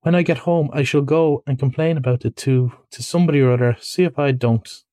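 A man's voice: medium pitch, a little fast, dark tone, no echo, lightly acted. A man voicing a self-pitying, literal-minded mole in a story, with a plaintive, huffy way of complaining. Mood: huffy, sarcastic.

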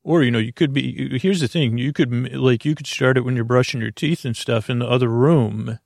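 Male sleep podcast host: gravelly creak, close dry sound, soothing and wandering monologue. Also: medium pitch, darkish tone, no room sound. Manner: meandering, halting, soothing, full of tangents. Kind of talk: slow rambling bedtime monologue.

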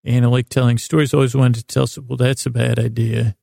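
A man hosting a sleep podcast, telling stories in a casual, meandering way with a gravelly voice, often halting and trailing off mid-thought. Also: medium pitch, little pitch movement, mellow, no room sound, clean studio sound. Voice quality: gravelly, creaky voice. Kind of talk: rambling casual bedtime storytelling monologue.

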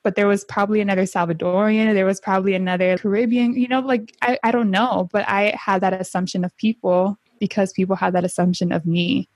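A woman's voice: mid-range voice, a little fast, few pauses, no echo. A young woman from San Diego with a Honduran family, talking casually and often saying "like". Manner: chatty, heavy use of "like", animated quoting. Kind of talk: casual chat.

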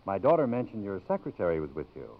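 Gentle middle-aged college president, lowish expressive voice, courteous, muffled vintage radio drama recording. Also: male voice, fast talker. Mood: warm, awkward. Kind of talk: 1950s radio drama acting.

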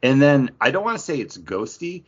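A man's voice: medium pitch, very animated, a little fast, slightly rough, dull audio. A man chatting casually and jokingly as a podcast co-host, talking enthusiastically through what he is tasting. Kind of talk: casual chat, informal beer tasting.

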